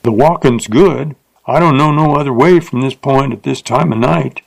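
A man's voice: mid-range voice, moderate pace, vocal fry, dry recording. A man voicing a crude, drunken frontier rider with a coarse rustic drawl. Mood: gruff.

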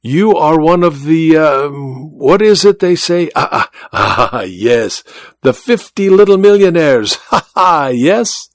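A man's voice: fairly high pitch, lively intonation, slow, no echo, studio quality, voice pushed up. A man voicing a pompous, sly blind male stage magician, speaking in a grand, theatrical way.

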